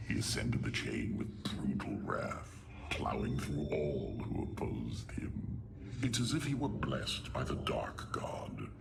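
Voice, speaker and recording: Deep, gravelly alien warlord. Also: male voice, big pitch swings, whispered, full character voice. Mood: dark relish. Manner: slow, grandiose, theatrical menace. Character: ruthless, cruel male alien warlord, menacing and contemptuous. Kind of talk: video game voice acting.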